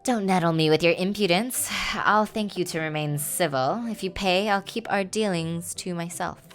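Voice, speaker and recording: A woman voicing a haughty gentleman creditor in a Regency game, formal and coolly threatening. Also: on the low side, normal speed, soft background music, lightly acted. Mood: cool menace.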